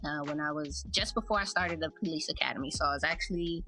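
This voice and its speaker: A woman in her thirties, a podcast host, telling her life story in a relaxed, chatty, reflective way. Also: sits low, fast talker, faint music behind. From United States. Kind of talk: casual personal storytelling.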